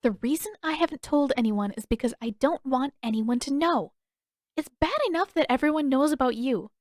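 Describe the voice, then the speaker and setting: A woman's voice: high voice, moderate pace, darkish tone, no echo, studio quality, voice pushed up, close to an everyday voice. A woman voicing a wary, exasperated teenage girl in an audiobook, intense and demanding. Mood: angry, hushed. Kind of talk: audiobook character voice.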